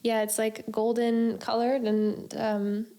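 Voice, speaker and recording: A woman's voice: on the high side, little pitch movement, takes it slowly, mellow. A woman co-hosting a podcast, chatting informally and enthusiastically with a guest. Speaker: podcast co-host. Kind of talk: casual interview chat.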